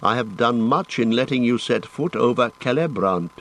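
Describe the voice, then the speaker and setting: A man reading an audiobook, voicing a stern male elf guardian who speaks in a measured, formal way. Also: mid-range voice, lively intonation, a bit bright.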